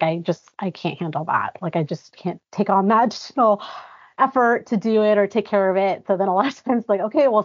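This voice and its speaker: A young woman from Guatemala talks as a podcast guest in an earnest, chatty way. Her voice sits fairly low. The recording is dry and a little muffled. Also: dry recording. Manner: earnest, chatty, many fillers. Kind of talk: conversational interview. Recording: dry, slightly muffled audio.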